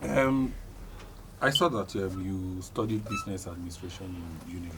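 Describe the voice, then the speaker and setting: A man interviews in a conversational, slightly hesitant style. His voice is fairly low. Clear ambient noise sits behind it. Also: normal speed. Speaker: radio show interviewer.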